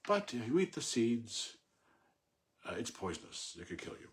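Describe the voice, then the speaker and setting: A man reading poetry and commenting on it informally in a measured, thoughtful way. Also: medium pitch, big pitch swings. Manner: halting, thinking aloud with self-corrections. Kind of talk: poem recitation and informal unscripted commentary.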